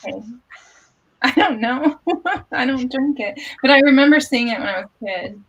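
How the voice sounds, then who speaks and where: A woman hosting a casual livestream from her home office, chatty and quick to laugh. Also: high-pitched, animated, fairly bright tone, slightly rough. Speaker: livestream host. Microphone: video call. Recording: dull lo-fi video call audio.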